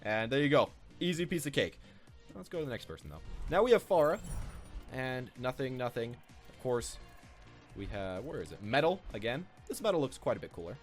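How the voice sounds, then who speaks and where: A man hosts a casual gaming video with a very lively, swinging pitch. He rambles off the cuff and repeats his phrases, with faint music behind him. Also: fairly quick. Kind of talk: casual off-the-cuff gameplay commentary.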